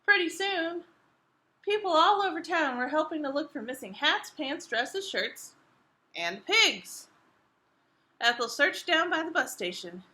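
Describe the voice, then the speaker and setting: Woman, high-pitched, lively intonation, toddler storytime reading, expressive, questioning the young listeners. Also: unhurried. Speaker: children's librarian reading a storytime. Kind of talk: animated read-aloud of a picture book for toddlers.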